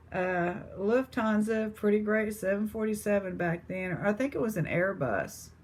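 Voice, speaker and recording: A woman's voice: medium pitch, unhurried. A woman with an East Texas accent chatting casually to her viewers as an online seller. She rambles, with lots of fillers and restarts. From East Texas, USA.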